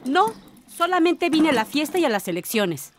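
Woman as chatty, higher-voiced visiting cousin. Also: big pitch swings, moderate pace, slight background noise. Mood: friendly. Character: sociable, lightly teasing adult cousin visiting the village. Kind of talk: radio drama dialogue.

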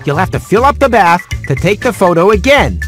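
A man's voice: sits high, very animated, a little fast, soft background music. A man voicing a fussy, sardonic house cat in a cartoon video game, with a wry, grumbling manner. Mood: sly, grumbling.

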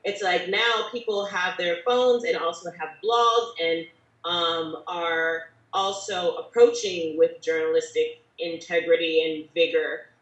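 A woman in her thirties talks slowly and earnestly in a lowish voice. She chooses formal phrases, and the video-call sound is dull and distant. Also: steady tone, roomy sound. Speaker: panelist. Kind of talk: earnest panel discussion. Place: bedroom at home.

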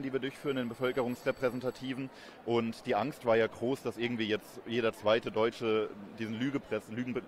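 A man in his thirties giving measured, explanatory answers as an interviewed academic expert. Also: medium pitch, slight background noise. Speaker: interviewed expert, communication professor. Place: busy event courtyard in daylight. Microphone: handheld reporter microphone held close. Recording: outdoor urban ambience behind voice.

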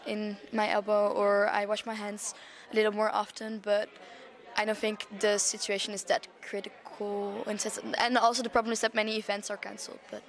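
Brief: twenties student woman from the Netherlands, even monotone delivery. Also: medium pitch, normal speed. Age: 20s. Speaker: student interviewee. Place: indoor university room. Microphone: handheld interviewer mic.

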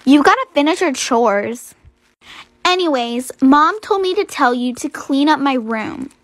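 A woman's voice: high voice, animated, a little slow, no room sound. A woman voicing a spoiled, bossy teenage stepsister with a dismissive, whiny manner.

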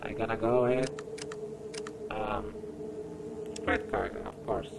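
A man's voice: medium pitch, slow. A man with a Brazilian accent, a native Portuguese speaker, giving casual, hesitant commentary as he plays a game. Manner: hesitant, expressive. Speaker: gamer, gameplay host. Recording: clear background noise.

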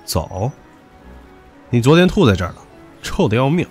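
A man's voice: mid-range voice, sing-song, normal speed, darkish tone, soft background music, natural voice. An actor in an audio drama playing a pushy, teasing young man who talks crudely and with swagger.

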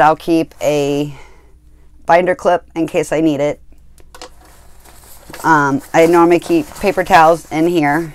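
Slow, smooth-voiced woman rambling casually. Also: deep voice, a little mellow, dry recording. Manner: rambling, self-correcting with many ums. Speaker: art channel host. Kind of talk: casual supply review. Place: indoor craft table.

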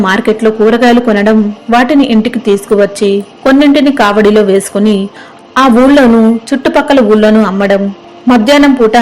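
Casting: female narrator for scripted moral tales, moderately high voice, storytelling read. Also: a little mellow, rough recording.

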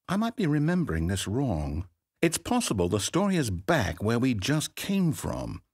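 A man's voice: fairly low pitch, breathy edge, exaggerated voice. An actor playing a chatty, fussy, self-doubting male narrator who rambles with theatrical flair. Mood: puzzled, chatty. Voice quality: rambling, theatrical, wildly swinging delivery. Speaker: game narrator. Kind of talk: video game voice acting.

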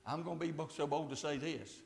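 A man in his sixties preaching a fiery sermon at a church pulpit, swinging from hushed tones to shouts. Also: on the high side, steady tone, normal speed, vocal fry. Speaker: pastor. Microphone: lapel mic, far camera. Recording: echoey church sanctuary.

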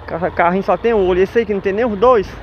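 High-voiced chatty motovlogger. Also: male voice, racing. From Brazil. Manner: chatty, upbeat, joking. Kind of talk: casual vlog narration while riding. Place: outdoors on city streets by motorcycle. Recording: dull lo-fi with faint street noise.

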